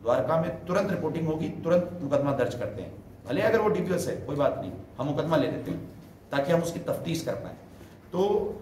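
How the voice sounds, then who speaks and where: Male senior police officer, slightly high voice, emphatic explainer answering press questions, recorded in a roomy echo. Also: darkish tone. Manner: explanatory, emphatic repetition, Hindi mixed with English terms. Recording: roomy echo, distant mic, faint noise.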